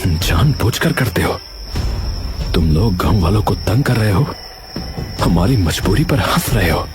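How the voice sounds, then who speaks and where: A man playing an honest, determined rickshaw-driver father in an animated story, speaking earnestly over music. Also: sits low, unhurried. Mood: angry, resolute. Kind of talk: animated moral story acting. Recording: music behind the voice.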